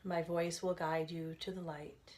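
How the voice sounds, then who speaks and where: A woman leading a guided relaxation as a meditation instructor, speaking gently and soothingly in unhurried phrases with pauses. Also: on the low side, level tone.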